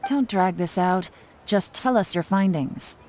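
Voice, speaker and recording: A woman's voice: on the low side, unhurried, a little mellow, a little hiss or hum, lightly acted. A woman playing a shrewd, commanding spymaster in a video game, composed and authoritative. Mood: cool, curt. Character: cool, shrewd, commanding adult female spymaster. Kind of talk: video game character dialogue.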